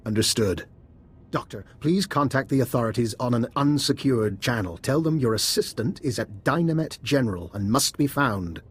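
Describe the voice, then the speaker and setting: An actor playing a resourceful, composed doctor and schemer in a video game, speaking in a measured, persuasive way. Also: male voice, mid-range voice, lively intonation, husky. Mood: calm, persuasive. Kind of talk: video game cutscene acting.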